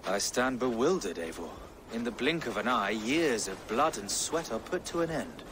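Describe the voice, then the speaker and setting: A man's voice: medium pitch, animated, moderate pace, lightly acted. A man playing an earnest, pious bishop. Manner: earnest, formal churchly phrasing. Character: pious middle-aged male English bishop. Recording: game audio with outdoor ambient noise.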